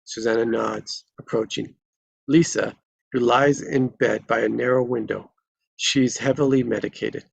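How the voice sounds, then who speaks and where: Casting: male narrator for screenplay stage directions, raspy with vocal fry, dry close sound. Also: medium pitch, steady tone, measured pace, no echo, rough recording. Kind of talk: scripted narration at a live table read.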